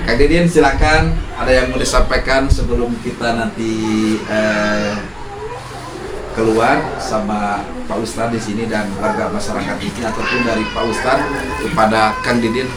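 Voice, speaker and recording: An earnest man from Indonesia hosts a village handover ceremony outdoors. He gives a speech with emphatic stretches. The recording is echoey and distant, with steady background noise. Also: lowish voice, moderate pace. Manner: earnest, emphatic when defending himself. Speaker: host handing over a prayer house.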